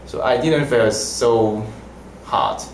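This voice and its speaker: A young man whose first language is Mandarin, answering interview questions in halting, simple phrasing. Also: mid-range voice, animated, slight background noise. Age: young adult. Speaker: language student. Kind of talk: casual interview. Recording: roomy echo.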